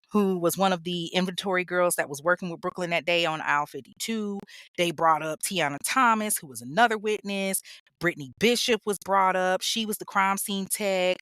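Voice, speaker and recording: A woman's voice: lowish voice, fairly bright tone, clean tone, no room sound. A woman hosting a true crime show, telling the story in a casual, blunt way with swearing and laughing asides. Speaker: true crime podcast host.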